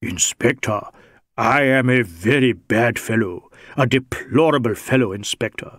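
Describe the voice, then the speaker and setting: A man's voice: medium pitch, a little slow, a bit bright, no room sound, clean studio sound. A man voicing a big, brawny Sikh rogue in an audiobook, sly and teasing, with wheedling mock deference. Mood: mock humble. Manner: wheedling mock deference, Indian English phrasing. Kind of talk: audiobook character dialogue.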